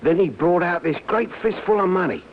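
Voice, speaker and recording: An actor playing a deferential working-class bus driver giving witness in a radio drama, hesitant and respectful. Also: male voice, sits high, lively intonation, brisk. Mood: hesitant, earnest. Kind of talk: old-time radio drama acting. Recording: tinny, narrow-band vintage radio recording.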